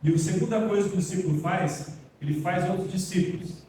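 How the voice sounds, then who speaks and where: A man's voice: high voice, fairly quick, background noise. A man preaching an earnest, plainspoken sermon to his congregation. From Brazil. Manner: earnest, plainspoken preaching, addresses listeners as brothers. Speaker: pastor. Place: church hall. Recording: echoey hall, voice from far off.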